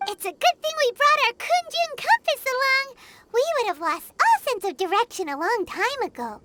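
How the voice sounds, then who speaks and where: Squeaky, excitable little fairy companion. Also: female voice, normal speed, heavily acted. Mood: curious, chatty. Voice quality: squeaky, childlike. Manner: exclamatory, speaks of self in third person. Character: small excitable childlike fairy companion, female, eager and chirpy. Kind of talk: video game voice acting. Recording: music behind the voice.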